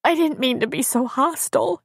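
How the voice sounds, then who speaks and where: A woman narrator performs a distraught young woman in an audiobook novel. Her voice is pitched well up, tearful and full of guilt, with a dry, close sound. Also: moderate pace, vocal fry, dry recording. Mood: tearful pleading. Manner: tearful, emotional delivery. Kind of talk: audiobook character dialogue.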